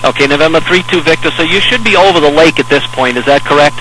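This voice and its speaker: An American man working as an air traffic controller, giving measured, hesitant, reassuring step-by-step instructions over aviation radio. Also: fairly high pitch, bright, forceful tone, faint music behind, poor audio. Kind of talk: instructional radio talk to a pilot in an emergency.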